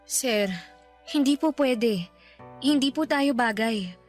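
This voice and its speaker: An actress with a Filipino accent playing a shy, humble teenage housemaid, deferential and hesitant, in a radio drama. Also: female voice, on the high side, slow pace, faint music behind. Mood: reluctant, pleading. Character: shy, humble nineteen-year-old housemaid, self-doubting.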